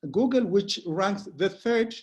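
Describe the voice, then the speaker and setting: Earnest Ethiopian-accented man, high voice. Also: expressive, a little mellow. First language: not English. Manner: earnest, halting with frequent restarts. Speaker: guest speaker. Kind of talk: motivational talk. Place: Pretoria home.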